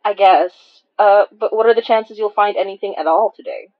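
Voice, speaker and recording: A woman's voice: moderate pace, dull audio, natural voice. A woman playing a flustered, stammering, easily startled young woman in an audio drama. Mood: hesitant. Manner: stammering, hesitant, swoops in pitch. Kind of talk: naturalistic audio drama dialogue.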